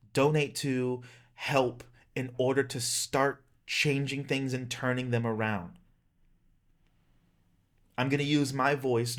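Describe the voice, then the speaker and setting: A man in his thirties giving an earnest, emphatic personal rallying speech as a vlogger, in plain-spoken language. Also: medium pitch. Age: 30s. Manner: impassioned, emphatic, plain-spoken with occasional swearing.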